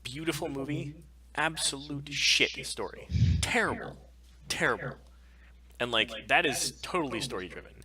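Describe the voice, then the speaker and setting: A man's voice: medium pitch, normal speed, sharp tone, husky. A man co-hosting a podcast live stream, chatting casually and bluntly with opinions and plenty of swearing.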